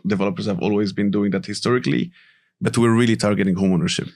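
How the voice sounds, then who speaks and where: Low-voiced man from Morocco explaining. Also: very fast. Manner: relaxed, explanatory, tags sentences with "right?" and "you know". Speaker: guest, company CEO. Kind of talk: casual interview talk.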